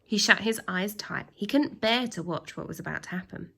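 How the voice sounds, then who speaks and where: A woman reading a children's story aloud like a teacher, with expressive, drawn-out emphasis and acted sound effects. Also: mid-range voice, creaky, heavy background noise.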